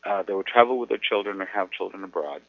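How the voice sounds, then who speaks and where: A Mexican American man, an educator, explaining things earnestly in a measured way with thoughtful pauses. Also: sits low, vocal fry, no echo. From United States. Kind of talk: explanatory talk on radio. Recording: tinny phone-like radio audio.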